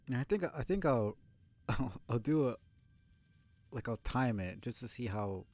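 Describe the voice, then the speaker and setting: A man with a low voice giving casual, informal live commentary as a video game streamer, thinking out loud. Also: animated, unhurried, no echo. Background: game music behind the voice.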